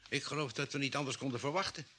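An actor playing a thoughtful ship's doctor who reasons and explains in a vintage radio drama. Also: male voice, medium pitch, steady tone, fast, bright, forceful tone, a little hiss or hum, close to an everyday voice. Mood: pondering. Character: thoughtful male ship's doctor on space expedition.

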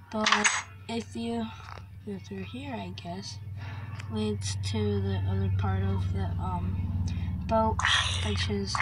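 A woman's voice: mid-range voice, heavy background noise. A young girl touring a game map, talking casually with lots of likes and ums. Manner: hesitant, full of likes and ums. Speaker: Minecraft player touring a map. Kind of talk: casual gameplay commentary. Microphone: phone screen recording.

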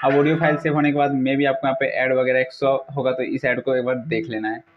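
A man's voice: mid-range voice, level tone, fast talker. A young man narrates a casual how-to tutorial, mixing English words into step-by-step instructions. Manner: repetitive, instructional phrasing with English words mixed in. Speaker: tutorial video host. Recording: dull lo-fi recording.

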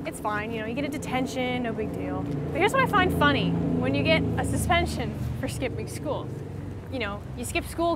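Young female, high voice, sarcastic rant, noisy outdoor traffic. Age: young adult. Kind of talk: opinion rant to camera. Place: outdoors by a parking garage.

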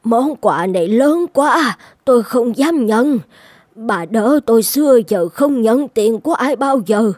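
A woman voicing a kindly, anxious old village midwife in an animated fairy tale, with an earnest, drawn-out delivery. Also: a little high, no room sound, clean studio sound. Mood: humble. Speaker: voice actor.

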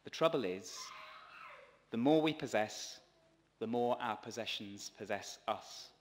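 A man in his thirties, a priest, delivers a scripted sermon in a measured, earnest way from a church pulpit. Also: lowish voice, a little hiss or hum. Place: large stone church, at a pulpit. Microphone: gooseneck lectern microphone. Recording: long church reverb.

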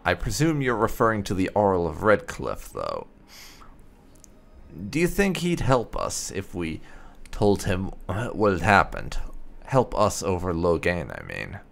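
A man giving casual roleplay game commentary in a musing, wry, drawn-out manner. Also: sits low, huge pitch swings, gravelly. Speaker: gamer doing a roleplay playthrough.

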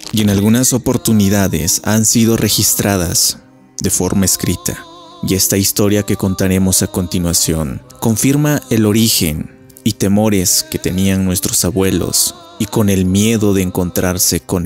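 A man narrates a folk legend. His voice sits on the low side, in a scripted storyteller's delivery. Faint music plays behind him. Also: a little mellow.

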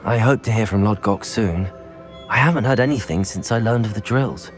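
A man's voice: fairly low pitch, normal speed, soft background music, close to an everyday voice. An actor playing an earnest young wizard student who reports urgent news to his elders.

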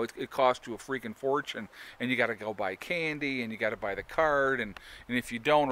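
A man's voice: medium pitch, a bit bright. A man in his forties talking casually to a handheld camera outdoors, rambling with many fillers and restarts. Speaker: homesteading vlogger. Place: outdoors in woods on a sunny day. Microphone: phone or camera held at arm's length.

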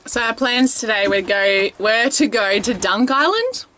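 Aussie woman in her 40s, relaxed, laughing vlog chatter on deck, wind noise faint behind. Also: a little high, unhurried, poor audio. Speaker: vlogger, sailing family mum. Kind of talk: casual travel vlog narration. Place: outdoors on a sailboat deck at sea. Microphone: handheld camera at arm's length.

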